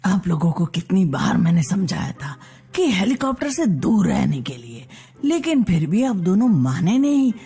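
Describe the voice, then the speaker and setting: Woman acting exasperated man, lowered scolding voice. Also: big pitch swings, moderate pace, dark tone, husky, heavily acted. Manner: reproachful, lecturing. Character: exasperated, scolding adult son and husband. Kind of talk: family story character voice.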